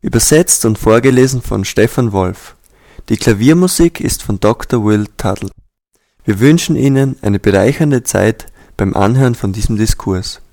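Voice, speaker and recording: A man reading a translated nonfiction book aloud in a calm, measured, earnest way. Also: lowish voice. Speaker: translator and audiobook reader. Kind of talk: scripted audiobook reading.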